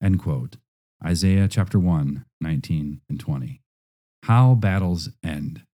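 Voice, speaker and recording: Deep, very mellow male narrator. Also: animated, takes it slowly.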